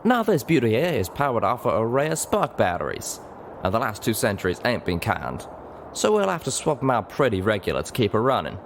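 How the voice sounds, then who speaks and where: A man's voice: lowish voice, very animated, fairly quick, a little hiss or hum, pitched above the performer's own voice. A man voicing an easygoing male pegasus mechanic with a folksy drawl in an audiobook story. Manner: folksy drawl, casual grammar.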